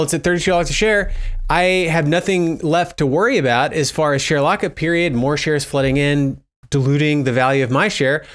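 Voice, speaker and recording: A man with a voice pitched a little above average hosts a casual online commentary. He speculates out loud, weighing things up as he talks. He speaks to viewers directly and poses questions to them. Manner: musing, thinks aloud, poses questions to viewers. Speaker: host of a stock investing video channel.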